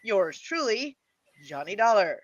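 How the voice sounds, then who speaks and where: A woman's voice: mid-range voice, big pitch swings, measured pace, no room sound, muffled recording. A woman hosting a show, introducing old radio programs and joking along. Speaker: host.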